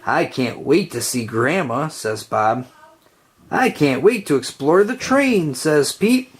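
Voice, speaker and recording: A woman reading a children's picture book aloud in a gentle storytelling way. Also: slightly rough. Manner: slow, gentle storytelling with lively pitch. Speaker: storybook reader.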